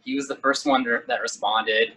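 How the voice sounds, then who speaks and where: A man from Virginia, singer and bassist of a band, answering interview questions in an easygoing way. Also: steady tone, normal speed, bright, forceful tone, husky, poor audio. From Virginia, USA. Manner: easygoing, laughs often.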